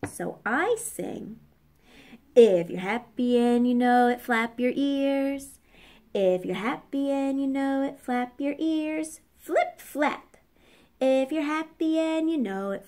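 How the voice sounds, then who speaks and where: Woman as a modest, high-voiced singing elephant. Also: sing-song, slow, few pauses, heavily acted.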